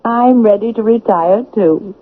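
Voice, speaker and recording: An actress playing an anxious, insistent, sharp-witted wife in a radio drama, with a husky voice. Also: female voice, medium pitch, a little slow, close to an everyday voice. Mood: confiding. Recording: narrow, muffled old radio broadcast.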